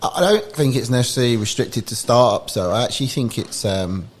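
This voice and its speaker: A man, a podcast guest chatting casually and telling lively stories that act out little exchanges. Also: medium pitch, fairly quick, a little hiss or hum. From United Kingdom. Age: 40s. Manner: lively storyteller who acts out quoted exchanges. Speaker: podcast guest, business founder. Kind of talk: casual interview chat.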